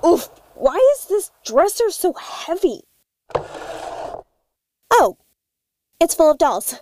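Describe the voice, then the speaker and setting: A woman's voice: extremely high pitch, very animated, slow pace, darkish tone, slight background noise. A woman in a comedic audio sketch, talking in a bubbly, cheerfully oblivious way. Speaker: cast member.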